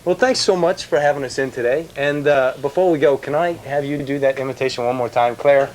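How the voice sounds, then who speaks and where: A man's voice: medium pitch, steady tone, fairly quick, a little mellow, rough recording. A man chatting casually and effusively as a television interviewer on location. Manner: effusive, enthusiastic. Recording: faint room noise.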